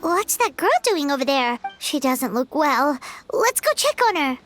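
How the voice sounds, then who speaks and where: A woman playing a small, childlike, chatty and curious female companion in an anime game, with a squeaky, exclamatory delivery. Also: very animated, a little slow, fairly bright tone, soft background music, full character voice. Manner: squeaky, exclamatory, refers to self in third person.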